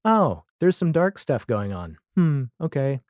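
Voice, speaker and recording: A man speaking as a podcast guest in a casual, reflective conversation, with halting, thoughtful phrasing. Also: fairly high pitch, very animated, a little mellow, dry recording, studio quality.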